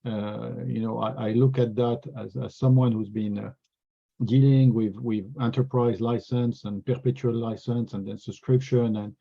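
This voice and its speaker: This French-accented man speaks earnestly and often restarts his words mid-thought. His voice is gravelly and husky, at a middle pitch. The video call audio is dull and lo-fi. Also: steady tone, moderate pace. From France. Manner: earnest, repeats words while thinking. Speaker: regional sales executive. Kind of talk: webinar interview answers.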